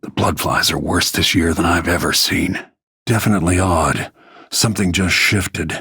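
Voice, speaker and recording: A man voicing a grim, battle-worn middle-aged royal protector and assassin in a video game, with terse, brooding, measured delivery and a gravelly, husky voice. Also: sits low, pushed and bright, no echo, studio quality, heavily acted. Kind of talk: scripted video game character lines.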